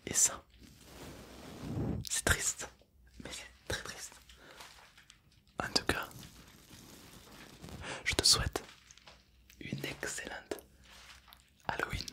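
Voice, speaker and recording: A young man plays a calm, chatty zombie in a breathy, close-miked ASMR whisper. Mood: wistful, rambling. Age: 20s. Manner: slow, hushed, rambling, playful. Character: calm, chatty, self-deprecating male zombie, mock-menacing. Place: indoor studio setup. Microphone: close stereo microphones either side of the head.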